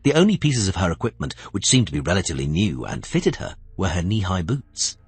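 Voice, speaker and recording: A man narrating a fantasy audiobook as scripted storytelling, with expressive, dramatic phrasing. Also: low voice, brisk, husky, no room sound, soft background music.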